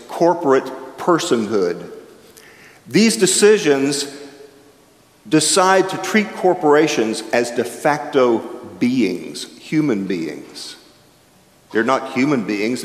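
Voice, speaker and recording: Older man, chapel speaker, reflective sermon, mid-pitched voice, long echoing hall. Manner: reflective, animated with wide gestures, shifting pace. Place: large chapel with an audience. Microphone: stand microphone at a lectern. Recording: echoey chapel heard from far off.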